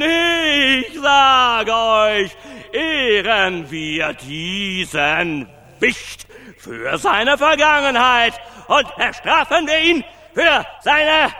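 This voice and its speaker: An actor in a radio play as a sneering male demagogue, declaiming scornfully with drawn-out shouted emphasis to whip people up. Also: extremely high pitch, full character voice. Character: shrill male rabble-rousing orator, sneering, whipping up a crowd. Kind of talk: radio play political speech. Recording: crowd behind the voice.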